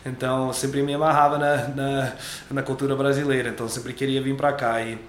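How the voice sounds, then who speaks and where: A man's voice: medium pitch, little pitch movement, a little fast, echoey room, a little hiss or hum. A young adult man, a native English speaker, chatting casually as an interview guest, loose and repetitive, restarting his words. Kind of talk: relaxed interview chat.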